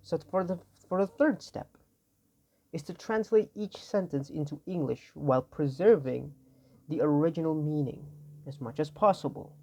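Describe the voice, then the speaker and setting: A young man with an Indonesian accent gives a loose tutorial, his voice raspy from not sleeping, and he rambles and keeps correcting himself. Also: fairly high pitch, normal speed, a little mellow. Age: young adult. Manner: tired, rambling, self-correcting. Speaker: student. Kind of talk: informal tutorial explanation.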